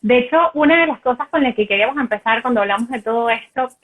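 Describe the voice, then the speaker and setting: A woman hosting a casual video call interview, relaxed and hesitant, with many fillers. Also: a little high, quick pace, slight background noise. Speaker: host. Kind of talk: casual live video chat.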